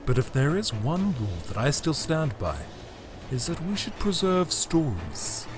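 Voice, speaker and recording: A man playing a grandiose, cold tyrant emperor in an audio drama, delivering a pompous, theatrical monologue with deliberate pauses and condescension. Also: fairly high pitch, big pitch swings, background noise. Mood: grand, ominous. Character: grandiose, cold tyrant Emperor, formerly a heroic Time Lord.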